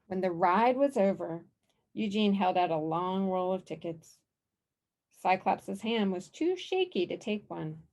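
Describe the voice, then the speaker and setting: A woman's voice: medium pitch, expressive, measured pace, a little mellow. A woman narrating a children's picture book aloud as its author.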